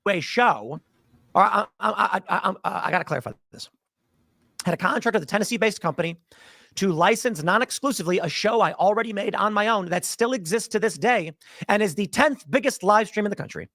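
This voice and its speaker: High-voiced sarcastic male podcaster. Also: expressive, a little fast, creaky, a bit bright, dry recording, studio quality. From Chicago. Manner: emphatic, sarcastic, argumentative. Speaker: political podcaster. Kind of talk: political commentary monologue.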